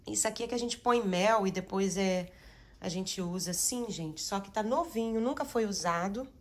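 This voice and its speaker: A woman's voice: mid-range voice, moderate pace, heavy background noise. Chatty woman tidying her kitchen. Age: middle-aged. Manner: chatty, addresses viewers as "gente", uses many diminutives. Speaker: home organizing vlogger. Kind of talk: casual chatty narration while tidying. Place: home kitchen.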